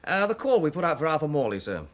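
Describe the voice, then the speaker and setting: An actor playing a dutiful, wry police sergeant in a radio drama, brisk, colloquial and deferential to his superior. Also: a little high, big pitch swings, fairly bright tone. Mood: brisk, reporting.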